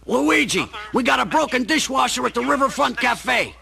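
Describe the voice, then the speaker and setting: A man's voice: very high-pitched, animated, moderate pace, creaky, pushed and bright, exaggerated voice. A man playing a skeptical, bossy working-class plumber, the older brother, in a radio play, with brusque sarcasm. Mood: loud, exasperated.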